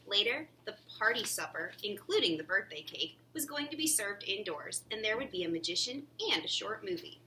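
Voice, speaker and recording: A woman narrates a children's storybook in a bright, forceful voice. She sounds some way from the microphone, with faint noise around her. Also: medium pitch, animated, a little fast, few pauses, recorded from a distance, low-quality recording. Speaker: teacher reading a storybook aloud.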